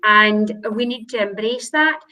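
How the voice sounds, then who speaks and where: A woman's voice: on the high side, a little slow, bright, forceful tone. A woman from Shetland with a Shetland accent, a school leader speaking earnestly on a video call with some hesitant fillers. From Shetland, Scotland.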